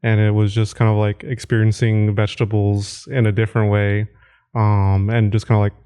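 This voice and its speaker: A man with a low, smooth, mellow voice speaks in a flat tone. He hesitates and reaches for words, with frequent fillers. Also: moderate pace. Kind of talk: casual chat.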